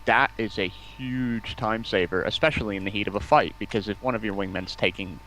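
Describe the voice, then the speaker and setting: Stream guest: man with a lowish voice, stop-start phrasing, faint noise behind. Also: fairly quick, a bit bright, rough recording. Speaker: guest, community manager. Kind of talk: casual livestream interview.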